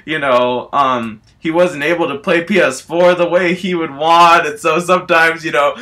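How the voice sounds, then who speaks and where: A man improvising a rambling comic story in an excitable, giggly manner, often falling back on repeated filler phrases. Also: fairly high pitch, normal speed. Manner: excitable, giggly, repetitive filler phrases like "you know" and "all that stuff". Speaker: amateur storyteller in a homemade video.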